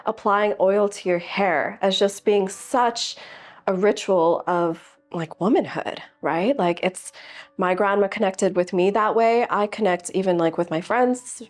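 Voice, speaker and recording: A woman's voice: mid-range voice, unhurried. A woman in her early thirties answering interview questions in a thoughtful, earnest way, often using filler words. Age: early 30s. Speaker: interview guest speaker. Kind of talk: reflective conversational answers.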